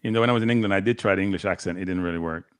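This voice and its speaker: A man's voice: low voice, very fast, a crowd in the background. Jamaican man arguing football. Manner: argumentative, repeats himself, frequent laughter. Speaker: football watchalong co-host. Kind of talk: live football watchalong chat.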